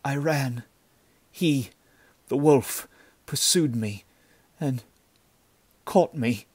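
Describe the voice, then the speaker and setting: A man voicing a frightened, dying young village girl in a storybook reading, with weak, breathy gasps. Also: mid-range voice, extremely slow, a little mellow, no echo, pitched above the performer's own voice. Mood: gasping, weak.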